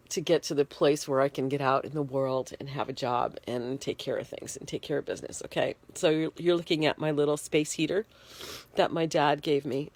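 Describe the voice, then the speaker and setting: A woman in a bedroom talking frankly into a handheld phone, congested and shivering, pausing often with fillers. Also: deep voice, darkish tone. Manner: plain-spoken, frank, halting with fillers. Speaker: vlogger. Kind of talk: casual personal video diary. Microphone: phone held in hand.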